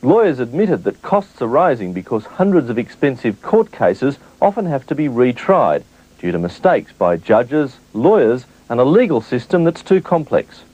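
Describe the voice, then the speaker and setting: A man narrating a TV news report with a lively, emphatic reading. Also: medium pitch, normal speed. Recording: muffled old TV broadcast.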